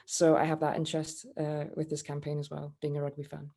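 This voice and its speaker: A woman's voice: sits low, monotone, brisk, gravelly, warm tone. A Scottish woman speaking as a guest on a panel, hesitant, with many fillers and restarts. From Scotland. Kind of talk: casual panel discussion.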